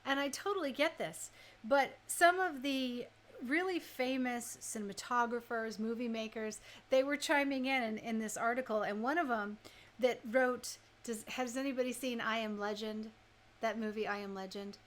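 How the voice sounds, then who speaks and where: Woman, radio DJ, fairly high voice, casual live chat, conversational, addresses listeners directly. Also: normal speed. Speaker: radio DJ and host.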